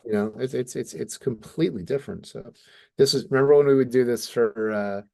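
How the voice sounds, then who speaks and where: A man with a mid-pitched voice talks casually as a podcast co-host. He sounds like an animated, enthusiastic fan discussing comics in detail, with some mild swearing. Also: a little fast, gravelly.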